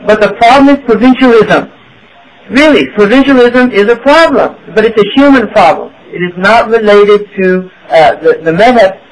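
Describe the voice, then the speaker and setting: A man's voice: high-pitched, moderate pace, low-quality recording. A man giving a religious lecture to an audience, asking rhetorical questions and checking in with "right?". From United States. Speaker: Islamic teacher.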